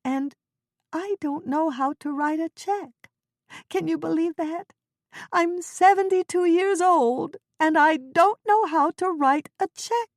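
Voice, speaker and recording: A woman's voice: very high voice, slow pace, dry recording, studio quality, voice pushed up, exaggerated voice. A woman voicing a fretful, naive woman in her seventies who talks in anxious, flustered bursts in a storybook reading. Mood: distraught. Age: 70s.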